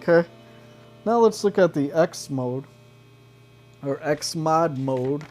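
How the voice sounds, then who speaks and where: Slow-talking man in a casual demo. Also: on the high side, lively intonation. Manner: halting, thinking aloud. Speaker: gear reviewer and demonstrator. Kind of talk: casual off-the-cuff product demo. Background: electric guitar.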